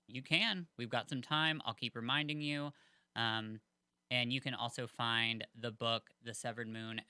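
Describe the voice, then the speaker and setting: A man's voice: mid-range voice, pushed and bright, clear, resonant tone, no echo, clean studio sound. A man co-hosting a podcast, chatting casually and rambling with lots of fillers. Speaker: podcast co-host.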